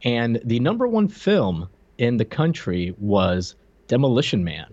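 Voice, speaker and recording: A man's voice: mid-range voice, big pitch swings, measured pace, a little mellow, dry recording, highs cut off. A man co-hosting a casual pop-culture podcast, talking in a hesitant, rambling way with joking asides. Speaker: podcast co-host.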